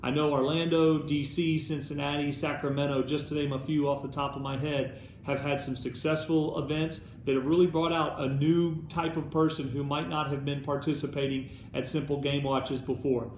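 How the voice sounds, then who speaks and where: Higher-pitched American man in an echoey room. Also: level tone, normal speed, few pauses, darkish tone. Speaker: alumni club staff presenter. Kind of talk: semi-scripted update to volunteers. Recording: roomy echo, background noise.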